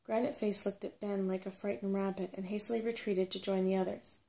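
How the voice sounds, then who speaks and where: A woman reading a story aloud as a narrator in a soothing, measured ASMR style. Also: mid-range voice, level tone, mellow.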